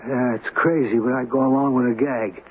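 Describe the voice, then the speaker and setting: An actor in a 1970s radio drama playing a wary, plaintive small-time hustler who talks in streetwise slang. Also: male voice, mid-range voice, steady tone, a little fast, close to an everyday voice. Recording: tinny, noisy 1970s radio broadcast.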